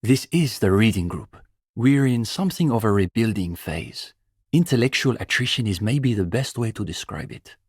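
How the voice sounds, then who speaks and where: A man's voice: lowish voice, expressive, moderate pace, slightly rough, no echo, studio quality. An actor playing an earnest young male student communist in a video game, intellectual, opinionated and dryly pedantic. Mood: earnest, explanatory. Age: young adult. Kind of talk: video game dialogue voice acting.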